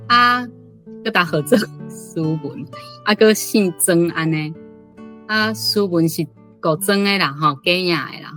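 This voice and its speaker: A woman's voice: fairly low pitch, fairly bright tone. A woman with a Taiwanese accent, a reading club host giving informal, reflective commentary.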